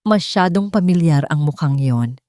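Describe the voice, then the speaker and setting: A woman narrates a dramatic romance audio story in a fairly low voice. She places short phrases deliberately to build suspense. The sound is dry and close, with little room around it. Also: lively intonation, dark tone, no echo.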